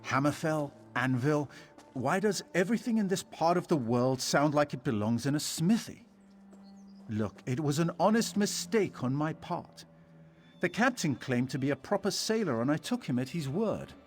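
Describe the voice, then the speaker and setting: A haughty scholar-adventurer in a fantasy video game, rather high in pitch and very lively in intonation. He is wordy, pompous and forever aggrieved, with faint music behind him. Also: moderate pace. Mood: indignant. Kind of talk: video game character dialogue.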